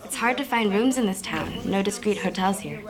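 A woman's voice: medium pitch, expressive, brisk, close to an everyday voice. A woman acting as an idealistic young activist in a drama, firm and defiant. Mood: quiet, intimate. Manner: firm, self-justifying. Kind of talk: naturalistic dialogue acting.

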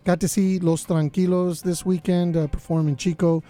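A man hosting a college radio show, his voice slightly raspy, chatting casually with lots of fillers. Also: high-pitched, little pitch movement, moderate pace, mellow, faint music behind. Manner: laid-back, lots of fillers. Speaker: radio DJ host.

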